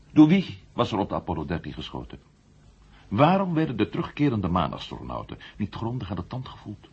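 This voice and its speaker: An actor playing an indignant, insistent journalist with a husky voice in a 1970s radio play. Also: male voice, lowish voice, exaggerated voice. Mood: accusing, insistent. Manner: insistent, challenging. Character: indignant, sharp-minded male journalist challenging the military. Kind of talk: 1970s Dutch science fiction radio drama acting. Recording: dull vintage radio play recording.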